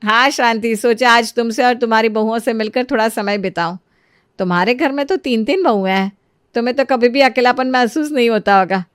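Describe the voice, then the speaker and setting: Woman doing a kind motherly middle-aged lady from a moral story, high voice, dry sound. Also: fairly quick, clear, resonant tone, no room sound, close to an everyday voice. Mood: reproachful. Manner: reasoning, motherly. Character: kindly middle-aged mother, gentle defender of young brides. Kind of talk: animated moral storybook dialogue.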